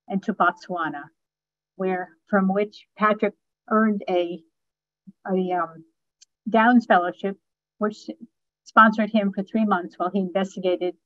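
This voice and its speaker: An older woman, in her sixties or beyond, moderating a panel over a video call with measured, deliberate phrasing. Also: mid-range voice, dry recording. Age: 60s or older. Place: office with bookshelves, indoors. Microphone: computer mic on video call. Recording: dull, band-limited video call audio.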